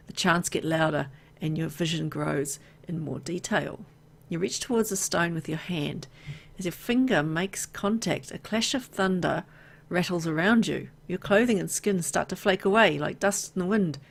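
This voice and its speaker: A woman's voice: on the low side, creaky. A woman reading a video game's story aloud, mixed with casual, playful asides as she plays. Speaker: video game let's player.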